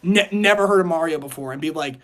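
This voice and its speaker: A man co-hosting a casual podcast discussion, talking in an animated storytelling way and acting out imagined reactions. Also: a little high, fast talker. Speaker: podcast co-host.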